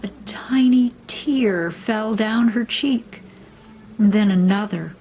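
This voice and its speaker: A woman reading a children's story aloud, expressively and deliberately, with dramatic emphasis. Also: medium pitch, dark tone, low-quality recording. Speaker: storybook reader. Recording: faint background noise.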